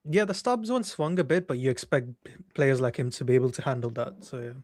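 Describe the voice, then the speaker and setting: A man's voice: medium pitch, sing-song, fairly quick, a little mellow, dry recording. A man co-hosting a live cricket watchalong, chipping in with dry remarks and statistics. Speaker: co-host analyst. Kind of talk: casual cricket chat with stats.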